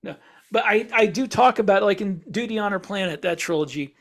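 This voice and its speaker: A man co-hosting a casual podcast, explaining in a halting way and repeating words as he works out his thoughts. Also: high voice. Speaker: podcast co-host and science fiction author.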